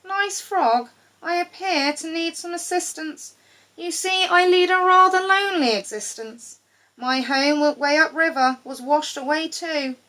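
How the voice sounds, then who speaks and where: A woman voicing a friendly, lonely crocodile in a children's storybook, coaxing and gently teasing. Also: very high voice, a little slow, pitched above the performer's own voice, full character voice, poor audio. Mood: pleading.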